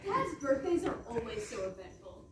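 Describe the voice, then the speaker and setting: A woman playing a power-hungry, petty young ice princess in comic readers theatre, swinging between grandiose threat and sheepish deadpan. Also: on the high side, moderate pace. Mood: scheming, petty. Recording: roomy, distant stage recording, faint noise.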